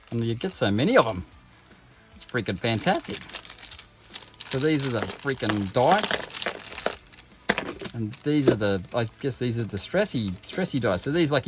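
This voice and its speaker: A man hosting an unboxing video with casual, informal commentary, full of jokey asides and self-interruptions. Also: medium pitch. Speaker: host of tabletop game unboxing videos.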